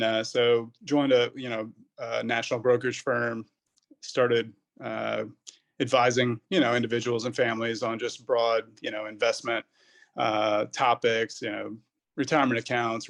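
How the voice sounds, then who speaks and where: A man in his thirties, a pharmacist guest on a podcast, answering casually in a rambling way. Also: mid-range voice. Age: 30s. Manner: rambling, many fillers and restarts.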